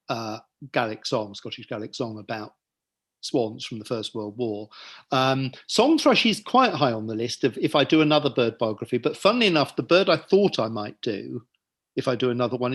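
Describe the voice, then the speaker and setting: A man chats about his writing in a breathy, husky voice, easygoing and quick to poke fun at himself. He speaks over a video call, drifting into little asides and jokes as he goes. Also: mid-range voice. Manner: chatty, self-deprecating, joking asides. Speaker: author and guest. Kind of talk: casual book-launch conversation.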